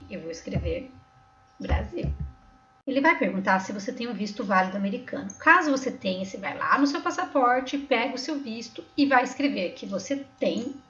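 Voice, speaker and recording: Woman, Brazilian São Paulo accent, high voice, patient tutorial explainer, roomy slightly muffled sound. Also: fairly bright tone, slight background noise. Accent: Brazilian Portuguese, São Paulo. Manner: patient and explanatory, often checking in with the viewer. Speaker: tutorial host. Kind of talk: step-by-step screen tutorial voice-over. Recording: roomy, slightly dull recording.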